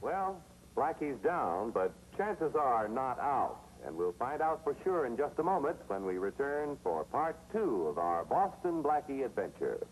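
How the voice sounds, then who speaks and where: A man's voice: mid-range voice, sing-song, measured pace. A man with an American accent announcing a 1950s TV adventure show. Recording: faintly noisy old TV soundtrack.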